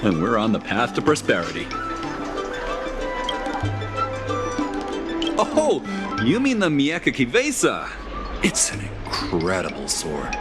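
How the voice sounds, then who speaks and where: A proud, earnest envoy from a far-off planet in a video game. His voice is fairly low but very animated, swinging widely as he speaks with eager expression. Music is clearly audible underneath. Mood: excited. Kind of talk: video game dialogue acting.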